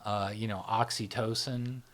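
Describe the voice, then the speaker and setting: Low-voiced man explaining, tagging "right?". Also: steady tone, a little slow, a bit bright. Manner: explains in loose spoken runs, checks in with frequent "right?". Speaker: host, channel founder. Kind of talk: casual explanatory conversation.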